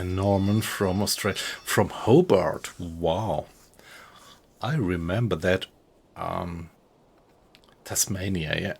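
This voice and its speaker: A German man with a German accent, chatting with viewers as he streams a game, relaxed and often quiet. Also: low voice, creaky, breathy edge. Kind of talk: casual gameplay commentary, chatting with viewers.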